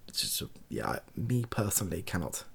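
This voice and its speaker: A young man speaking softly and breathily in a casual, rambling monologue, hesitant and self-deprecating as he gives his opinions. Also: deep voice, big pitch swings, moderate pace, whispered. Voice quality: soft, husky, breathy. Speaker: online video creator giving opinions.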